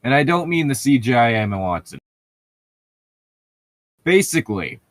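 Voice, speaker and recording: A man's voice: mid-range voice, no echo. A man reading fan fiction aloud and breaking off into sarcastic, sweary commentary with an exasperated, mocking manner.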